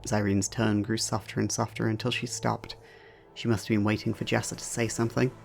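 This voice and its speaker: A man's voice: lowish voice, flat delivery, fairly quick, creaky. A man narrating a scripted dramatic story as the narrator of an audio drama.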